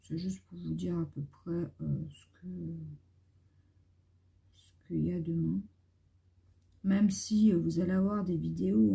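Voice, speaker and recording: A hoarse woman vlogger chatting casually and meanderingly to her viewers into a phone at home. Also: fairly low pitch, warm tone. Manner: rambling, meandering talk.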